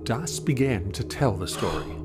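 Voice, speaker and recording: A man's voice: lowish voice, animated, moderate pace, music in the background. A man narrating a scripted audio drama as its storyteller.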